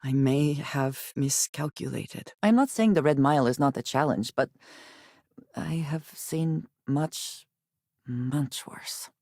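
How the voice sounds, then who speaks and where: An actress voicing a guarded, solemn spacefaring companion in a video game, speaking in a measured, formal and blunt way. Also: female voice, low-pitched, darkish tone, no room sound, clean studio sound, heavily acted. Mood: grave, measured. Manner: measured, formal phrasing with few contractions. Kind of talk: scripted game character voice lines.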